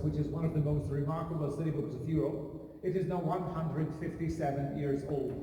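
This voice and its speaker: A man's voice: a little high, mellow. A man in his fifties giving an explanatory guided talk in formal, elaborate wording. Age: 50s. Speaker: synagogue caretaker and guide. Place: inside a large synagogue hall. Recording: very echoey hall, distant, faint noise.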